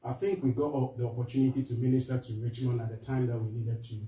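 A man from Ghana with a Ghanaian accent, giving an earnest charity appeal to a church, halting with fillers and restarts. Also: mid-range voice, steady tone, dark tone. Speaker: charity representative speaking to a church. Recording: roomy echo, voice from far off.

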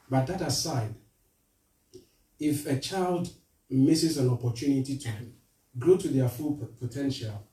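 A man from Ghana with a Ghanaian accent, giving an earnest charity appeal to a church, halting with fillers and restarts. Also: mid-range voice, mellow. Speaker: charity representative speaking to a church. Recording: roomy echo, voice from far off.

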